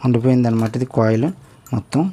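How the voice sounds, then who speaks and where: Fast-talking man teaching a repair. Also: mid-range voice, little pitch movement, warm tone, a little hiss or hum. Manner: explains step by step, often asks the viewer to look. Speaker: electronics repair tutor. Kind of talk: hands-on repair tutorial.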